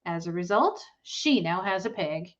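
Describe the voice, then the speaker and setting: A woman voicing a gentle but firm farmer father in a storybook, in a measured, patient way. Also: on the low side, animated, highs cut off. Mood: wry.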